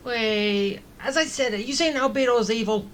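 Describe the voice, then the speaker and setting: A woman's voice: on the high side, moderate pace, slight background noise, rough recording. A woman streamer giving casual, reactive commentary as she plays a game. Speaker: gaming streamer.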